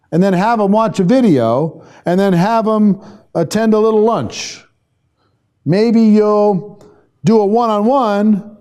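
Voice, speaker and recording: A man's voice: high voice, dark tone. A man working as a sales trainer, teaching a live online class in an informal, conversational way and thinking aloud as he responds to viewers. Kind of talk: informal live lesson, reading and answering viewer comments.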